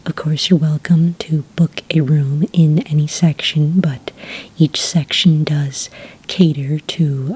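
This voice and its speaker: Whispering, breathy woman as a friendly witch clerk. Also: low-pitched, dark tone, heavily acted. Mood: politely welcoming. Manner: unhurried, polite, hesitant customer-service patter. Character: friendly, obliging witch desk clerk at haunted inn. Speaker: ASMR roleplay performer. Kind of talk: soft-spoken whispered ASMR roleplay.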